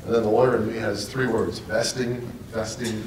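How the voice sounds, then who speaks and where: A man's voice: fairly low pitch, level tone, moderate pace, recorded from a distance. Older lawyer guy on a panel, confident, a bit jokey, big echo, off-mic, room noise. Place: conference room.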